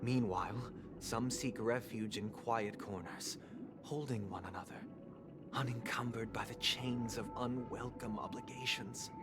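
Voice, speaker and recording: A man's voice: mid-range voice, natural voice. Actor as serene male villain over prominent music. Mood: grand, reverent. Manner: solemn, measured, oratorical. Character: serene, eloquent male villain preaching an eternal dream paradise. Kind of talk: theatrical video game dialogue. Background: prominent game music.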